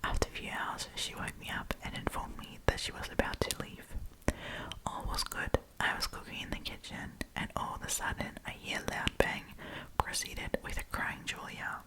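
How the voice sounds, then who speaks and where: Female ASMR storytime host, fully whispered delivery, soft and informal. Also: moderate pace, low-quality recording. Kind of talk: whispered ASMR story reading with casual asides.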